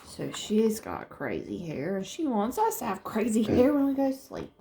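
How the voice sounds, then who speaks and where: A woman teacher reading a storybook aloud to young children, expressive and explaining things in simple terms. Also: a little high, moderate pace, vocal fry, slight background noise. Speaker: second-grade teacher.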